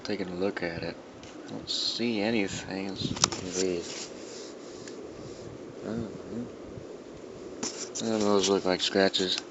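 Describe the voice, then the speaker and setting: A man's voice: sits low, steady tone, slow pace, pauses often. A man giving a casual, unscripted show-and-tell as a collector, rambling and musing to himself as he handles things. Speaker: record collector presenting his albums. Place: indoors in a dimly lit room. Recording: dull lo-fi sound with clear background noise.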